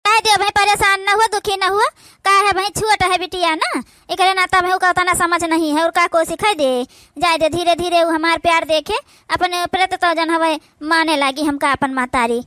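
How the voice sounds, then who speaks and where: A woman with an Awadhi accent playing a gentle, patient young stepmother in a cartoon, coaxing and soothing as she speaks. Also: very high voice, fairly even intonation, moderate pace, no room sound, studio quality. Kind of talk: amateur comedy cartoon dialogue.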